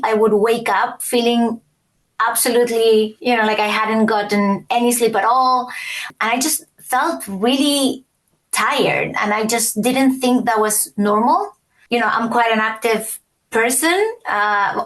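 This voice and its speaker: A woman's voice: a little high, poor audio. A woman in her forties from Chile, a guest telling her story in a casual interview, often hesitating and restarting. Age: 40s.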